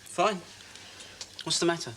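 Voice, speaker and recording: A man's voice: on the high side, fairly even intonation, measured pace, noisy background, natural voice. An actor playing a chatty, joking male radio DJ in a TV drama, slipping from teasing on-air patter to firm warnings. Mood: friendly. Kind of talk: 1980 TV drama acting.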